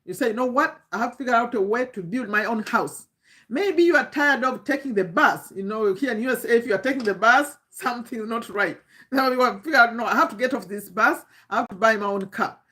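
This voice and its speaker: Higher-pitched Ugandan woman coaching informally. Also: a little fast, sharp tone. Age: 50s. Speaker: online business coach. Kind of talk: informal motivational talk to camera.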